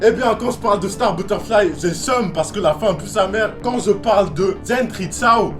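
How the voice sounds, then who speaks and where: A young man giving casual, slangy commentary on cartoons, animated and emphatic. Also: sits high, normal speed, music in the background. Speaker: video essayist.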